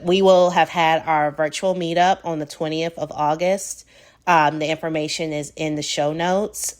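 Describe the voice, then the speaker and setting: An American woman with a Southern accent hosting her own podcast, talking casually and reflectively with many fillers. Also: sits low. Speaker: podcast host. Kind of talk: solo podcast monologue.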